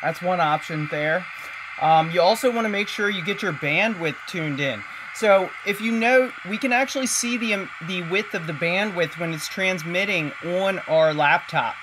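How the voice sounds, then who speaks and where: A man's voice: sits high, moderate pace. A man giving a casual, conversational hands-on tech tutorial, explaining as he goes. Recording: clearly noisy home-video recording.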